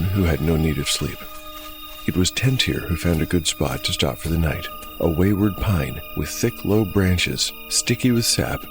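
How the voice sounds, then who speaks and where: A man acting as game master and narrator of a dark fantasy audio drama. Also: a bass voice.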